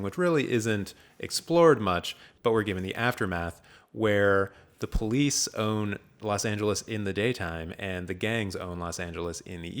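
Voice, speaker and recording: A man hosting a podcast, giving a rambling, jokey, off-the-cuff recap in a casual chat style. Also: fairly low pitch. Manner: rambling, jokey, improvised storytelling. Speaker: podcast host.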